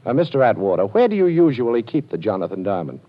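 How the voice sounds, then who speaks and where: A man playing a calm, dryly amused detective with an ex-con past in a vintage radio drama. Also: medium pitch, quick pace, close to an everyday voice. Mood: cool. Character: cool wry ex-con detective, male adult, posing. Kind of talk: 1940s radio acting. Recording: dull old radio broadcast.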